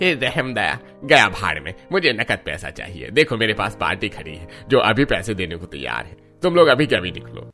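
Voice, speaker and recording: Brash, sharp-voiced greedy landlord. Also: male voice, on the low side, very animated, normal speed, hardly any pauses, faint music behind, exaggerated voice. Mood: scornful, demanding. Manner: brash, taunting, bullying. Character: greedy, heartless male landlord relative, loud and scornful. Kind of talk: Hindi cartoon story voice acting.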